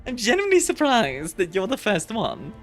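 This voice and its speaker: Lively giggly woman, British-leaning English. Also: mid-range voice, normal speed, soft background music. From Belgium. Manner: bubbly, giggly, chatty with frequent fillers. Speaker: streamer. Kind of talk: casual chat reading live chat.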